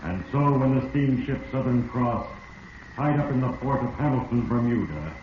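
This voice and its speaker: A man's voice: mid-range voice, animated, natural voice. Man acting an ominous echoing voice of Fate. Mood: foreboding. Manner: solemn, deliberate, dramatic. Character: ominous male voice of Fate narrating a doomed schemer's diary. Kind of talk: 1940s radio drama second-person narration. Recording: muffled, hissy 1940s radio, heavy echo.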